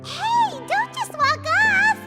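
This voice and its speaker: An extremely high, squeaky little fairy companion from a video game, spirited and encouraging. The childlike voice rings with long reverb over prominent music. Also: female voice, lively intonation, slow pace, heavily acted. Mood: indignant. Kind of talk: animated game character acting.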